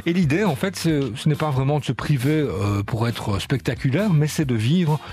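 A man's voice: mid-range voice, lively intonation, moderate pace, a little mellow, slight background noise. A man hosting a casual radio segment, telling a musician's story in a chatty way with frequent fillers. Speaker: radio show host.

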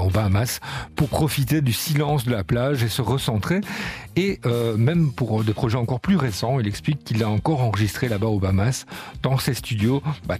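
A man's voice: mid-range voice, a little mellow. A man hosting a casual radio segment, telling a musician's story in a chatty way with frequent fillers. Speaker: radio show host.

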